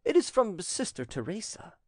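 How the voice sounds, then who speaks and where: Man acting imperious prince, swooping, animated delivery. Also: high voice, moderate pace, breathy edge, dry recording, clean studio sound, pitched above the performer's own voice, exaggerated voice. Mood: commanding. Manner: imperious, ironic, commanding. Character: haughty, menacing Neapolitan prince, imperious master. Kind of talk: audiobook character acting.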